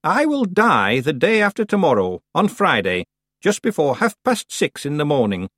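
A man voicing a very old, calm and stern witch woman with a dry, matter-of-fact manner. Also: a little high, moderate pace, no room sound, voice pushed up, exaggerated voice.

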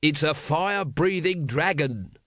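A man's voice: mid-range voice, animated, moderate pace, dry recording, clean studio sound, natural voice. A man voicing a gloomy, exasperated city guard captain with an English accent in an audiobook. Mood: exasperated, angry.

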